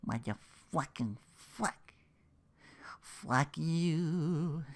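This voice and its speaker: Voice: medium pitch, very slow. A podcast host giving casual solo commentary on video games, rambling and profane, often acting out reactions. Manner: rambling, animated asides, profane, acts out reactions.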